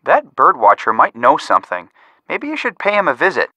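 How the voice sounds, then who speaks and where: A man's voice: fairly high pitch, wildly swooping pitch, a little fast, pushed and bright, no echo, exaggerated voice. A young man with an American accent voicing an upbeat amateur detective who gives wry, helpful hints in a video game. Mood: upbeat, teasing. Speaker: detective helper giving hints. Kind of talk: scripted video game voice acting.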